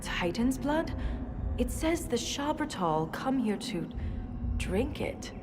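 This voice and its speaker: A woman's voice: fairly high pitch, very animated, slow pace. A woman playing an earnest, curious dwarven scholar who ponders lost history in a video game cutscene. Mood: awed discovery. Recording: loud music behind the voice. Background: loud music.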